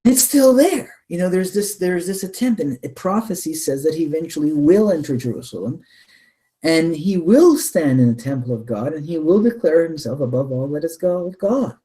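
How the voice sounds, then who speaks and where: A man's voice: fairly high pitch, lively intonation, normal speed, warm tone, low-quality recording. A man giving an extended explanatory talk as a guest, speaking emphatically, posing rhetorical questions and repeating phrases for weight. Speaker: interview guest, researcher.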